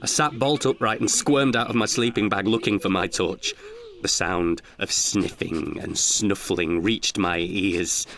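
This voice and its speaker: A man performing scripted narration of a comic horror tale as a dramatic storyteller, with hushed suspense and deliberate pauses. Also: lowish voice.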